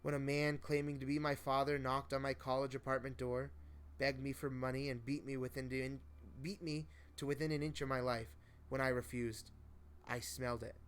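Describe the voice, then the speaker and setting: A man reading a first-person horror story aloud as host, in a solemn, measured, dramatic storytelling style. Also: mid-range voice, little pitch movement, a bit bright, clear, resonant tone. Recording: noticeable faint background noise.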